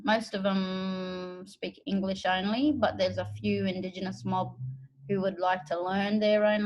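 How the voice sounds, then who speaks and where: An Australian woman from the Northern Territory, an educator and interpreter, telling her own story in a relaxed, thoughtful way with pauses. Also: medium pitch, rough recording. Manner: unhurried, thoughtful, with pauses and fillers. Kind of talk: relaxed personal account.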